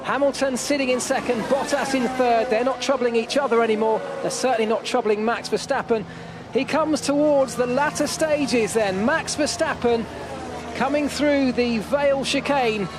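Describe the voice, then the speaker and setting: A man giving excited live motor racing commentary as the lead commentator. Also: extremely high pitch, moderate pace.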